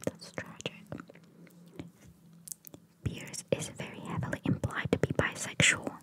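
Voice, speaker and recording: A woman whispering very close to the microphone as an ASMR host, reading trivia aloud with casual, rambling asides and softly repeated phrases. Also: measured pace. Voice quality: breathy unvoiced whisper. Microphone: very close microphone, cupped by hand.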